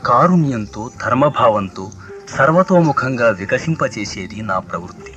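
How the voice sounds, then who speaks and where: Guy acting as righteous epic prince, formal lofty speech, bright forceful voice, old radio play with faint music. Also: medium pitch, lively intonation, normal speed, lo-fi sound, natural voice. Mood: lofty, resolute. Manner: declamatory, lofty, formal classical diction.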